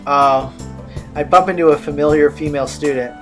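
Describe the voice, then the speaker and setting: A man's voice: a little high, fairly quick. A man hosting a let's play, reading game narration aloud with casual asides. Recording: game music behind the voice.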